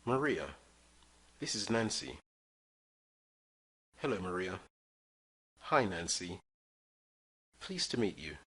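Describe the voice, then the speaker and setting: Male lesson reader: slow, lively phrasing, bright slightly husky voice, clear model sentences for repetition. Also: deep voice. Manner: reads model phrases for learners to repeat. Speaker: English teacher. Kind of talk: slow scripted language-lesson reading.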